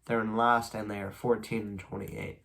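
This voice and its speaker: A man's voice: on the low side, normal speed, creaky. A young man with a stuffy, congested nose and a slightly worn voice, casually recapping baseball standings as a video host and rambling a little between stats. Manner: reads stats at a steady clip, rambling asides. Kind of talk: casual solo sports recap.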